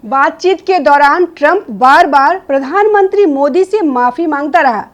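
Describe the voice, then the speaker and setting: A woman with a high-pitched voice presents scripted political news. She delivers it as opinionated commentary, stressing her points with rhetorical emphasis. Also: unhurried, muffled recording.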